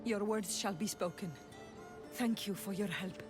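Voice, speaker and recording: A woman's voice: medium pitch, unhurried, music in the background, close to an everyday voice. A woman playing an anxious, secretive village mother in a video game, speaking formally and urgently. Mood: relieved, grateful. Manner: dramatic, urgent, formal wording. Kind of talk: video game cutscene acting.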